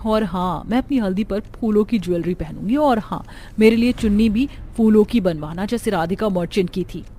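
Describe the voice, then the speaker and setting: A woman's voice: mid-range voice, moderate pace, dark tone, a little hiss or hum, natural voice. A woman voicing a spoiled, bossy rich daughter in a Hindi moral-story cartoon. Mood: indignant. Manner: bossy, insistent. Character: spoiled, demanding rich young bride-to-be daughter.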